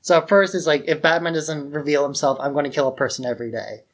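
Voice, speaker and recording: A man's voice: a little high, steady tone, fast talker. A man co-hosting a podcast in a casual film discussion, informal and given to acting out paraphrased dialogue. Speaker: podcast co-host.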